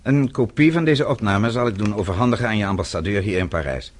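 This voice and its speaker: An actor playing a vengeful middle-aged father in a radio play, speaking in a measured, deliberate and formal way. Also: fairly low pitch, lively intonation, lightly acted. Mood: cold menace. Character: vengeful father, composed, coldly methodical older man.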